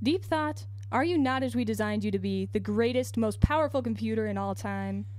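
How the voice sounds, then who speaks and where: A woman's voice: on the high side, brisk, darkish tone, no echo, clean studio sound, natural voice. A woman playing an earnest, indignant computer designer in a science fiction radio drama, questioning in formal, insistent speech.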